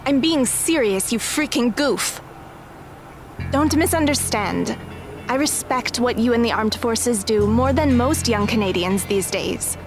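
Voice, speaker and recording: A woman's voice: fairly high pitch, expressive, measured pace. A woman playing a blunt, guarded teenage girl in an audio drama, firm and frank. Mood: firm, annoyed. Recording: music under the voice.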